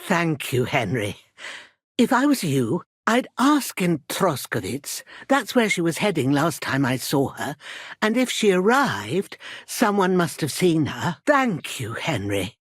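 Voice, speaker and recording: A woman's voice: low voice, very animated, measured pace, husky, dry recording, studio quality, heavily acted. An actress playing a worried old village herbwoman in a video game, protective and proud, with a plain-spoken rustic manner. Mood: earnest, plain. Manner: rustic, plain-spoken, folksy turns of phrase. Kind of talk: scripted video game character dialogue.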